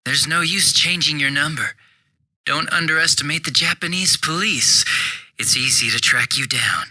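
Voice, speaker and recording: An actor playing a smug, two-faced young police detective in a video game, casual and sarcastic with a taunting, cruel edge. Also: male voice, on the high side, moderate pace, sharp tone. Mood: cold, menacing. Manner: casual, sarcastic, taunting, shifting from breezy to menacing. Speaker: police officer.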